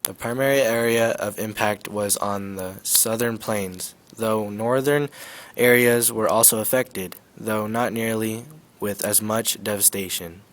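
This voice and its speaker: A young man reading a school-project news report on the radio era, quick and loud. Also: fairly low pitch, fairly even intonation. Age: teens.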